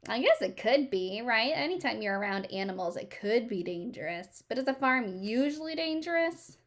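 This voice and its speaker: A woman reading aloud to children as a teacher, expressive, pausing to ask questions and explain things simply. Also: a little high.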